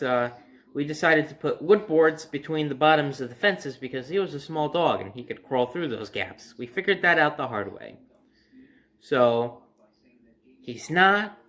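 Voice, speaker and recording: A man's voice: fairly high pitch, fairly bright tone. A young adult man casually telling a personal story to camera in a conversational, emphatic way. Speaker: storyteller and host. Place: indoor room by a window at home.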